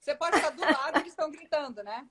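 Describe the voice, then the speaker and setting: A woman's voice: high voice, lively intonation, racing, a loud crowd. A woman voice teacher answering questions in a live online interview, explaining technical points on a video call. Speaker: guest voice teacher.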